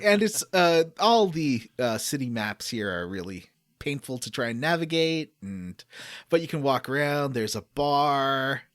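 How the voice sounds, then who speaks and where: Animated man on a gaming podcast. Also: fairly high pitch, no echo, clean studio sound. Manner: jokey, acts out characters' lines while recapping. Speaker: podcast host. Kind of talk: casual gaming podcast chat.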